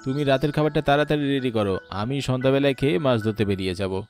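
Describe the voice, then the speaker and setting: A man's voice: medium pitch, fast, darkish tone, soft background music, natural voice. A man playing a poor, earnest village fisherman and family man in a horror cartoon. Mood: weary. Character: poor, hopeful village fisherman, husband and father.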